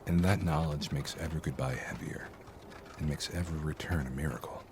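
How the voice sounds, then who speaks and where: Over clear background ambience comes an actor as an extremely deep-voiced man of a dark fantasy audio drama. He is solemn and reflective, weighing his phrases with earnest care. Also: fairly even intonation, a little fast, background noise. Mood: solemn, tender.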